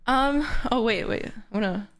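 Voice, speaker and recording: Bubbly high-voiced young woman streamer. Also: moderate pace. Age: 20s. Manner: bubbly, excitable, squealing. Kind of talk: casual livestream chat. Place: her bedroom.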